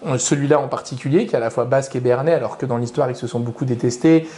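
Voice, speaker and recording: A man's voice: medium pitch, fairly even intonation, very fast, warm tone. A young adult man, an author invited as a guest, giving long structured explanations that list his points in order. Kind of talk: explanatory interview answers.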